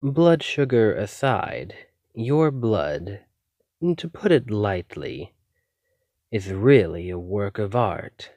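Male vampire, played by a man, ASMR roleplay: lowish voice, theatrical and polite with obsessive interest, very dry close sound. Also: big pitch swings, a little mellow, no room sound, full character voice. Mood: reassuring, eager. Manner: polite, coy, measured, theatrical. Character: obsessive, courteous male vampire posing as lab technician. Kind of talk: ASMR roleplay addressed to the listener.

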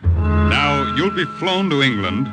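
An actor playing an authoritative military intelligence officer, formal and deliberate, in 1950s radio drama style. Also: male voice, on the high side, very animated. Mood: serious, official. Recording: old 1950 radio recording, music behind.

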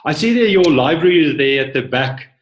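A man's voice: on the high side, lively intonation, roomy sound. A man, hosting an interview over a video call, praising the guest and asking questions with many fillers. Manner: warm praise, halting with fillers. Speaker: host.

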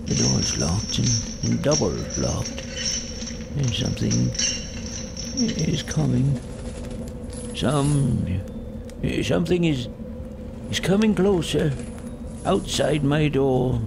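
An actor in an audio drama playing a frightened, crotchety old Victorian miser, stammering and theatrical. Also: male voice, mid-range voice, very animated, gravelly, very noisy, exaggerated voice. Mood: terrified. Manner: stammering, halting, theatrical, swooping delivery. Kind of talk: audio drama acting of a Victorian ghost story.

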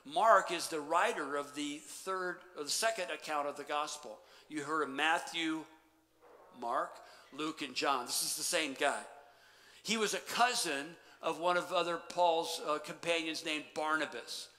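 A middle-aged man preaching a sermon as pastor, animated, then dropping to quiet emphasis. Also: fairly high pitch, measured pace. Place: church sanctuary stage. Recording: long church reverb.

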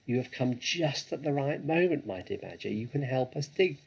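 A suave father fox from a children's tale, performed by a male reader. He speaks with courteous, sly confidence in a husky voice, reassuring and theatrical. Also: mid-range voice, lively intonation, voice pushed up. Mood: assured. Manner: courteous, deliberate, slyly theatrical.

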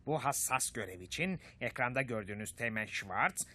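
A villainous cartoon colonel with a mid-pitched voice. He is pompous and commanding, boasting and bullying theatrically. Music plays clearly behind the dubbed lines. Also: lively intonation, moderate pace, bright, forceful tone. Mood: grave, scheming. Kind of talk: Turkish cartoon dub acting.

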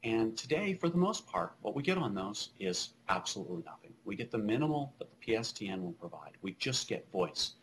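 A man's voice: lowish voice, very animated, fairly quick, few pauses, a bit bright, a little hiss or hum, rough recording. A man in his thirties giving a conference keynote over a video call from a small office, conversational with many fillers and restarts. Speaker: keynote speaker. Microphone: video call webcam.

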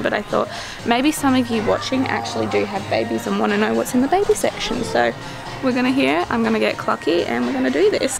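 A woman's voice: on the high side, creaky. A young adult woman with an Australian accent, chatting casually and gushing as she vlogs her way around a store. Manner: gushing, enthusiastic. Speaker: vlogger. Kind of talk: casual shopping vlog chat. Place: inside a busy department store. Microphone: handheld camera at arm's length.

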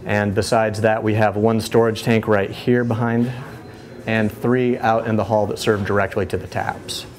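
A man in his thirties with a lowish voice talks casually through a brewery tour, easygoing and loose, stopping often on fillers and restarts. He speaks on a lapel mic among steel tanks in a small brewery tucked inside a bar. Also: normal speed. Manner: relaxed, many fillers and restarts. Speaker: head brewer.

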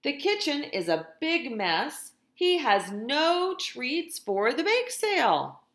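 Animated woman reading to children, slow pace. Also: sits high, reverberant. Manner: expressive, deliberate, addresses children directly. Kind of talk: picture-book read-aloud for young children. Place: indoors in a room at home.

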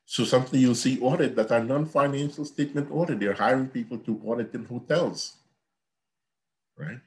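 A man's voice: mid-range voice, normal speed. Man lecturing on a call. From Guyana. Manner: earnest, repetitive teacherly explaining. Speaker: accounting professor. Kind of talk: online university lecture. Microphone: video call.

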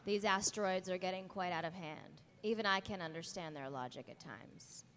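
Woman doing a prim, lowish servant robot. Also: moderate pace, fairly bright tone. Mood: fussy. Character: polite, fussy servant robot. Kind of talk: comic table read. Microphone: table microphone. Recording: slightly noisy live convention hall.

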